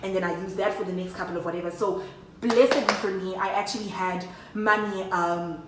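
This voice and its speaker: A woman's voice: mid-range voice, steady tone, brisk, roomy sound, far from the mic, background noise. A young woman telling a story in a chatty, slangy way, talking straight to her viewers. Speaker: vlogger. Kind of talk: casual storytime chat to camera.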